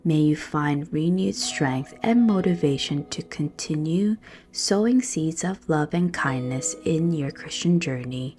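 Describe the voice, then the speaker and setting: Low-pitched woman, slow guided meditation. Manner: calm, soothing, measured with pauses. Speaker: guided meditation and prayer leader. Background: soft guitar music.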